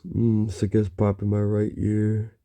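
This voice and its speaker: Gravelly, dark-voiced man murmuring. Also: completely flat delivery. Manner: halting, murmured, with frequent low hums. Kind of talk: rambling monologue to camera.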